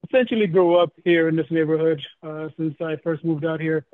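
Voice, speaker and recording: Halting man on a tinny phone line. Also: on the high side, steady tone, dry recording. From Ethiopia. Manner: halting, many fillers. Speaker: restaurant owner. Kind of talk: casual introduction on a call.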